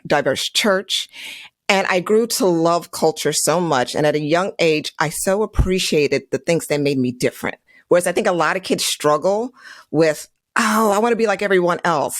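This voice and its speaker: A woman's voice: fairly low pitch, dry recording, clean studio sound. A woman from Trinidad and Tobago, a guest on a podcast, reflecting on her upbringing and laughing easily. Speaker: guest, financial advisor. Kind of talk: conversational interview answers.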